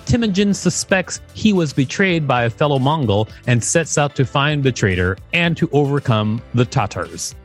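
A man's voice: mid-range voice, animated, normal speed, hardly any pauses, soft background music. A man co-hosting a podcast, reading an article aloud and making wry, joking asides. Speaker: podcast co-host. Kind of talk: reading aloud with casual commentary.

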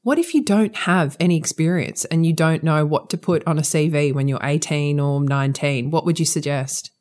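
A woman's voice: sits low, normal speed, a little mellow. An Australian woman, a lawyer, asking questions in a thoughtful conversational manner. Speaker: interviewer, lawyer. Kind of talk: interview questions.

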